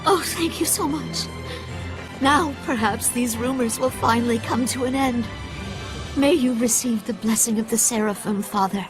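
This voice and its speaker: A female actor voices an anxious, pious mother in a fantasy game. Her voice is fairly high, with an earnest and courteous formality. Music is clearly audible behind her. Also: normal speed, lightly acted, low-quality recording. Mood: grateful. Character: devout, anxious mother pleading with her priest. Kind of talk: dubbed fantasy game cutscene acting.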